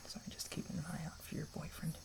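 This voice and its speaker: A man playing a kind, protective camper in an ASMR roleplay, speaking in a soft, breathy half-whisper with a hesitant, reassuring manner. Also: quick pace, natural voice. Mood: watchful, protective. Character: kind, protective young male camper comforting a stranger.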